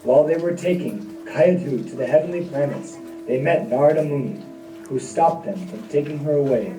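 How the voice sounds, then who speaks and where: A man's voice: on the high side, lively intonation, moderate pace. A man narrating a devotional puppet show from a script, as a storyteller. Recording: roomy, distant mic, music behind.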